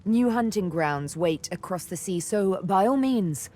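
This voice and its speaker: A woman's voice: mid-range voice, animated, a little slow, mellow, slight background noise, natural voice. A woman voicing a sardonic, vengeful elf huntress for a video game, with arch, teasing, theatrical phrasing. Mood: mock-regal, playful. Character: sardonic, vengeful female elf, former slave turned huntress. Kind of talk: video game character dialogue. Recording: background music under the voice.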